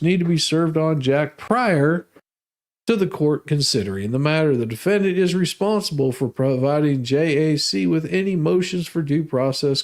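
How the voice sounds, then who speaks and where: American guy reading paperwork out loud, kinda folksy n sarcastic, voice a bit on the high side. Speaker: host reading out legal documents. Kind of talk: humorous dramatic reading with casual asides.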